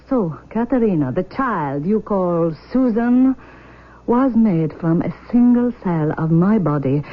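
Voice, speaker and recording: An actress playing an intense, imperious forty-year-old Russian mother in a 1970s radio drama, speaking in emphatic, deliberate declarations. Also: a little high, full character voice. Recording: muffled vintage radio broadcast recording.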